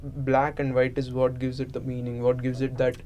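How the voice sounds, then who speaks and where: A man's voice: medium pitch, monotone, moderate pace, crowd noise. A man in a casual discussion, speaking in a reflective, explanatory way. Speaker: participant in an unscripted discussion. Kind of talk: casual philosophical chat. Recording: noisy room ambience.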